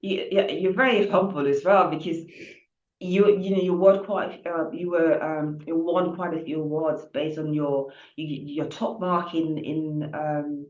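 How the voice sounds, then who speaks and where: A woman hosting an interview over a video call, rambling warmly through restarts and fillers. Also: low-pitched, fairly even intonation, measured pace. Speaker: interview host. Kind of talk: casual interview chat. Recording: dull, roomy sound.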